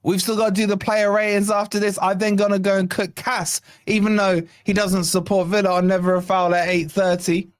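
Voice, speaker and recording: A man hosting a fan channel's live watch-along, hoarse from shouting, giving excited, partisan play-by-play with swearing. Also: high voice, brisk, hardly any pauses, no room sound, studio quality. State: hoarse, losing his voice. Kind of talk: excited fan match commentary.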